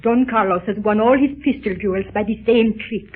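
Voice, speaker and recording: A woman's voice: mid-range voice, normal speed, slightly rough, natural voice. An actress playing a proud, scheming lady, speaking formally and dramatically in a 1930s radio serial. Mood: composed, scheming. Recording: muffled narrow-band 1930s radio recording.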